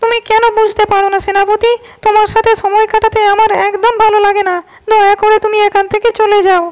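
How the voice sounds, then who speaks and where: A woman voicing a proud, defiant young princess in a cartoon, speaking in a sharp, scornful way. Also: extremely high pitch, flat delivery, quick pace. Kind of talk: cartoon voice acting.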